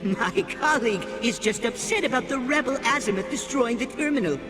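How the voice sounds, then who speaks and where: An actor playing a prim, helpful alien scientist in a game. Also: male voice, very high-pitched, lively intonation, a little fast, loud music behind the voice.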